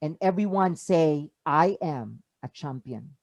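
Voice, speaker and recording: A speaker with a Filipino accent giving an inspirational talk over a video call, asking the listeners rhetorical questions with emphatic rises. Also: male voice, fairly high pitch, lively intonation, slow pace, vocal fry, darkish tone, dry recording. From Philippines. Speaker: motivational speaker. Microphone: video call mic.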